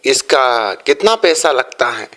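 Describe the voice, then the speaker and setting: A man's voice: mid-range voice, very animated, slow, bright, forceful tone, heavily acted. A man voicing an admiring shop customer in a cartoon story, a little hesitant.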